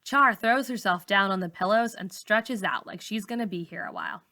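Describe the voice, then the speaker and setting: A woman reading a novel aloud as a teacher would, in expressive first-person story narration. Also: mid-range voice, normal speed.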